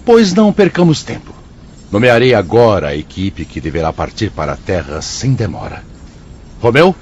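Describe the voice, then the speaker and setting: An actor with a Brazilian accent playing a solemn, benevolent spirit director in a radio drama, speaking formally and with authority. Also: male voice, on the low side, sing-song, moderate pace, a little mellow. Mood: resolute. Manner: formal, declamatory. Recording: dull lo-fi audio, faint noise.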